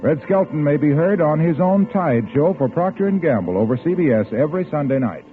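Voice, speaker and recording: Male American vintage radio announcer: highish, very lively pitch, emphatic sell, thin narrow-band sound over faint music. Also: a little fast. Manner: polished, emphatic sales delivery. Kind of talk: scripted 1940s radio announcing. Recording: tinny vintage radio broadcast, music behind.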